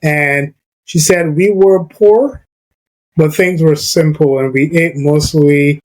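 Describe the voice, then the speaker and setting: A man from Barbados hosting a relaxed podcast chat, his voice sitting a little high for a man. He talks in a loose, rambling way, full of "like" and "you know". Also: takes it slowly, rough recording. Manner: conversational, many fillers like "you know" and "like". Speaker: podcast host. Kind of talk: casual podcast conversation.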